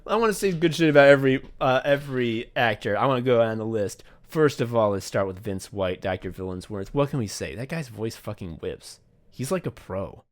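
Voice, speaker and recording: Animated, sweary man chatting casually. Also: medium pitch, fairly quick. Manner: profane, self-deprecating. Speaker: podcast co-host and audio play director. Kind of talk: casual behind-the-scenes chat.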